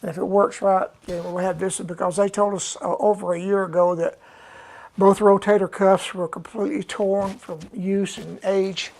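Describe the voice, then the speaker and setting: A man, chatting casually and unhurriedly to his live audience as a musician host, with many pauses. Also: high voice, little pitch movement, vocal fry, a little mellow. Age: 70s. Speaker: musician hosting a live stream. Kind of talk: rambling casual chat.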